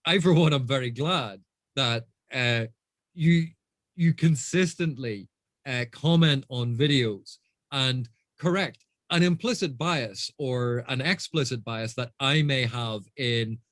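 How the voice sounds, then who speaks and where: A man moderates an informal discussion as its host. His voice is medium in pitch and recorded dry. He phrases his replies deliberately, leaning on his key points. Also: a bit bright, no echo. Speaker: host of book discussion channel.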